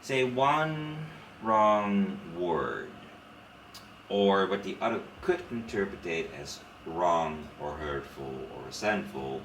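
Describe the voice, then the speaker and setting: A young man with a Dutch accent speaks low and frank, slipping into lively storytelling. His voice sits far from the mic in an echoing room with a faint hiss behind it. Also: slow pace. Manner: frank, opinionated, animated storyteller. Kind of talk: casual conversation. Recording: roomy sound from a distant mic.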